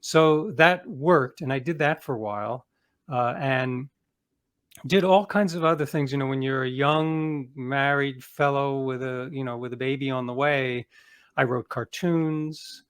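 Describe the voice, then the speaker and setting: Middle aged guy guest on an interview, regular pitch, rambling casual stories, pokes fun at himself. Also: vocal fry. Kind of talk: casual anecdotal storytelling.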